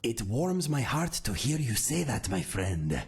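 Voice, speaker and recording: An actor voicing a calm, earnest male cat-folk swordsman in a game, speaking in a measured, formal way with a raspy voice. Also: medium pitch, exaggerated voice. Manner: measured, formal and warm-hearted. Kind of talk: game character dialogue.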